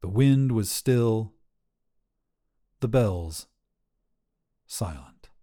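A man narrating a scripted short story for a podcast in measured, deliberate phrases with pauses. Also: lowish voice, lively intonation, mellow.

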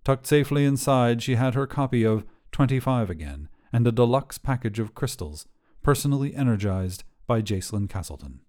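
A man narrates a short story in a mellow, soft-edged voice of medium pitch. His reading is scripted and expressive, with lively rises and falls in intonation. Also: brisk.

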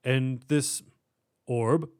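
A man acts out a male bank manager with an ordinary middle pitch. He is blunt and wary, speaking with open disbelief. The style is that of a fantasy storybook. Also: animated, moderate pace, close to an everyday voice. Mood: incredulous. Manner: blunt, incredulous.

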